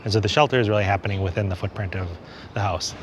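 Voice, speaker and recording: A man's voice: fairly low pitch, fairly even intonation, very fast. Man explaining buildings on a noisy street. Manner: explanatory, conversational, frequent "kind of" hedges. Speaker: architect. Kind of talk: on-camera walking tour commentary. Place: outdoors on a city street. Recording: city street noise behind.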